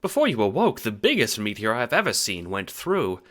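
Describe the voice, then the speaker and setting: A man's voice: on the low side, wildly swooping pitch, normal speed, fairly bright tone, pitched above the performer's own voice. A man voicing a fussy, motherly small alien woman with prim, emphatic, old-fashioned manners in an audio drama. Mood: fretful. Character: fussy, motherly small female carapacian attendant, bossy. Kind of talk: audio drama character acting.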